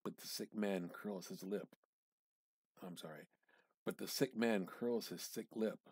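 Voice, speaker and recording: A man giving a measured, theatrical reading of a literary short story, drawing out words and pausing for effect. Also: on the low side, darkish tone, breathy edge, dry recording. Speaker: amateur reader practicing dramatic reading.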